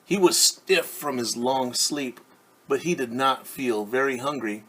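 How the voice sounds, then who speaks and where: A man teaching young children through a story, reading aloud and explaining gently and patiently with questions. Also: mid-range voice, measured pace, a little hiss or hum, rough recording.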